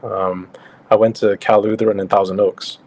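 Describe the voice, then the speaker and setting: A man's voice: fairly low pitch, normal speed. A man in his late thirties from Singapore, with a slightly English-tinged accent, chatting casually as a guest over a video call and often restarting his sentences. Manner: relaxed, with frequent fillers and restarts. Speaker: guest, crypto company co-founder. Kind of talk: casual interview chat.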